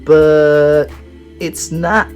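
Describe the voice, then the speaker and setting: A man voicing an eager, clever young boy space explorer who explains things in a high, excited voice. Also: very slow, highs cut off, faint music behind. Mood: explaining.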